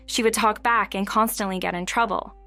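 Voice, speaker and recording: A woman's voice: mid-range voice, fairly even intonation, brisk, fairly bright tone, faint music behind. A woman reading scripted narration for a biographical passage. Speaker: quotation narrator.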